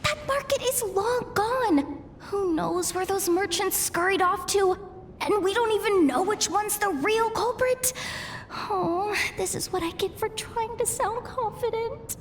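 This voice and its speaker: A woman playing an exasperated, reluctant and easily flustered young female informant in a video game, with expressive, grumbling delivery. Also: extremely high pitch, a little slow, slight background noise. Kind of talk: English video game cutscene voice acting.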